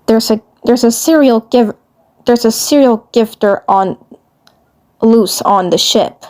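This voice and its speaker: A woman's voice: a little high, slow, a little mellow. A woman voicing a worried, grave cartoon commander in a fan fiction story, hesitant and serious.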